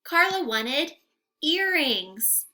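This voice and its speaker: High, animated young woman teaching little children. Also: very slow. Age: young adult. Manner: animated, sing-song, carefully enunciated with questions to young listeners. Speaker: teacher reading aloud to children. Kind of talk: interactive children's read-aloud lesson. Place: room at home.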